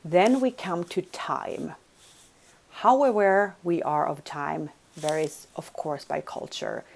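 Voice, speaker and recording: A woman's voice: deep voice, takes it slowly, creaky, a little hiss or hum. A woman from Sweden, giving a recorded college lecture and checking in with listeners by asking "right?". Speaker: business communication lecturer.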